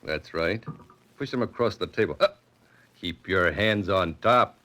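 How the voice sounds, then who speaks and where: A menacing old-radio thug, low voice, curt and mocking, takes his time. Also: a little slow, fairly bright tone. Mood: cool, commanding. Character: cool, menacing male gunman giving orders. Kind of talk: 1940s radio crime drama acting.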